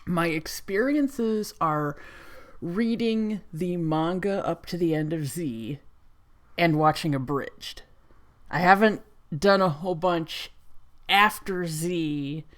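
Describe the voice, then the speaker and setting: Low-voiced woman giving wry casual commentary. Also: slow pace. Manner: wry, skeptical asides. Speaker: reaction video host. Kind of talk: casual off-the-cuff reaction commentary.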